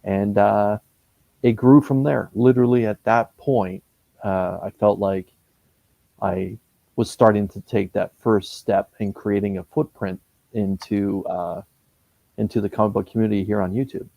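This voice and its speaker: A Canadian man in his fifties, a comic book YouTuber talking casually in an interview, pausing often with fillers and restarts. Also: on the low side, fairly even intonation, creaky, dark tone, no room sound. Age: 50s. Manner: hesitant, many fillers and restarts.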